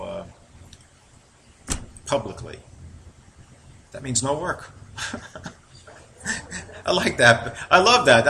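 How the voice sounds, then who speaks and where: A man with a New York accent, sitting at a mid-range pitch, works through scripture verse by verse. He reads the passages with a little lift and then drops into easy, conversational explanation. A faint hiss of background noise sits under the voice. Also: pushed and bright. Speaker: Bible teacher. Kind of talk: informal Bible teaching.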